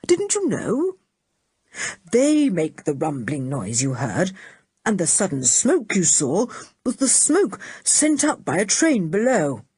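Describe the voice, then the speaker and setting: A woman voicing a calm, kindly grown man who explains things gently. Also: lowish voice, husky, dry recording, exaggerated voice. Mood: reassuring. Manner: soothing and unhurried.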